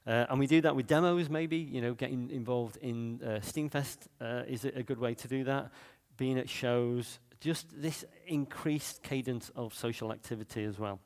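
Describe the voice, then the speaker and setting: A man's voice: medium pitch, moderate pace, hardly any pauses, a little mellow. A man from Manchester with a Mancunian accent, giving a chatty conference talk full of fillers and restarts. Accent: Mancunian English. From Manchester, England. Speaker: conference speaker. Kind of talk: conference talk on game marketing. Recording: long reverb of a hall.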